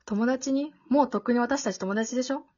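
A woman acting a blunt, exasperated but protective teenage girl in an amateur radio drama, dry and sharp-tongued. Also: a little high, a little fast, lightly acted. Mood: reassuring. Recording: dull, lo-fi audio.

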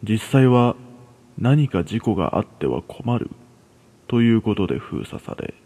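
Low, husky male ghost story narrator. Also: sing-song, measured pace, warm tone. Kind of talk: scripted ghost story reading in first person.

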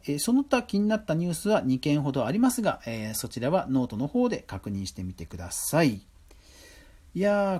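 A man's voice: a little high, a little mellow, slightly rough. A man hosting a podcast, running through news items and adding his own conversational commentary. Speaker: podcast host. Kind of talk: news roundup commentary, semi-scripted.

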